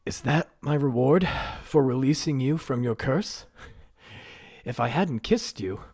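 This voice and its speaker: A man voicing a tiny young human prince who shouts and scoffs defiantly. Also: low voice, lively intonation, normal speed, husky, full character voice. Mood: scoffing.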